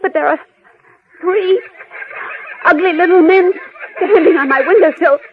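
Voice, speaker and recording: Excitable young lady reporter, high voice, exasperated, 40s radio drama, super tinny old recording. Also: measured pace, noisy background. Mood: frantic. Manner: breathless, exasperated, emphatic. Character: frantic, indignant young woman newspaper reporter. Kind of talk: 1940s radio acting.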